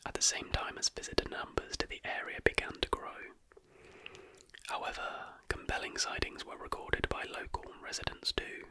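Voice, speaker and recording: An ASMR host reading scripted narration in a breathy, unvoiced whisper with soft, deliberate enunciation.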